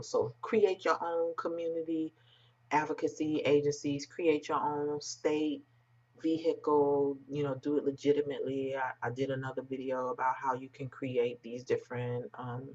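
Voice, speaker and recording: An American commentator with an androgynous voice, talking bluntly and emphatically in informal, unscripted remarks to online viewers. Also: mid-range voice. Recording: dull, muffled lo-fi sound.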